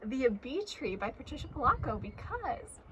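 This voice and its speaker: A young woman reading a children's picture book aloud in an animated style. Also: high-pitched, moderate pace. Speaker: storybook reader. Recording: clearly noisy background.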